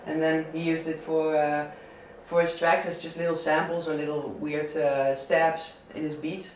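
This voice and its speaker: A man with a southern Dutch accent talking casually about favourite records, halting often with fillers and restarts. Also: fairly high pitch, little pitch movement, a little hiss or hum. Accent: Dutch, southern Brabant. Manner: hesitant, many fillers and restarts. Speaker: DJ and record selector. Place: indoor listening session. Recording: echoey room, mic at a distance.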